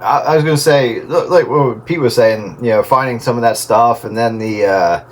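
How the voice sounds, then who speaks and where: A man's voice: mid-range voice, normal speed, noisy background. A man talking in a loose, conversational way in a casual radio round-table.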